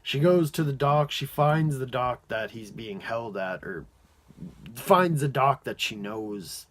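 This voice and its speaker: A man giving a casual, off-the-cuff review as an amateur reviewer, rambling and halting, often padding with "like" and letting sentences trail off. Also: mid-range voice, animated, a bit bright.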